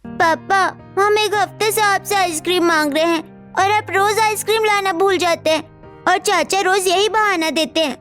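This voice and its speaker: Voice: extremely high pitch, normal speed, a bit bright, faint music behind. A performer voicing a small child in a cartoon story, whiny and pleading. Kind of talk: Hindi cartoon story dialogue.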